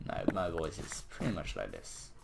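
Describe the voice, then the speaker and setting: Hoarse guy with a fading voice. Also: deep voice, huge pitch swings, unhurried, background noise. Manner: self-mocking, chuckling, rambling. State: hoarse, voice nearly gone. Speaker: gaming video creator. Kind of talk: casual game commentary while building.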